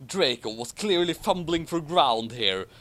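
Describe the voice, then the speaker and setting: A man's voice: a little high, animated, measured pace, a bit bright. A man reading a story aloud with sarcastic, exasperated commentary. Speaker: reader of a fan fiction with sarcastic commentary. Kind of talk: dramatic reading with sarcastic asides.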